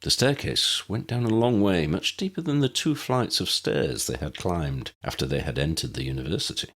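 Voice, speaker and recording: A man's voice: sits low, lively intonation, moderate pace, fairly bright tone. A man reading a story aloud as a scripted audiobook narration.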